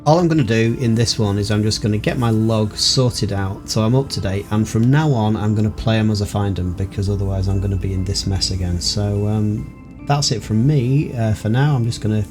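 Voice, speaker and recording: Low-voiced, low-key male gaming host. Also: steady tone, a little fast, darkish tone. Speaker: let's play host. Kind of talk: casual gaming commentary. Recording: music under the voice.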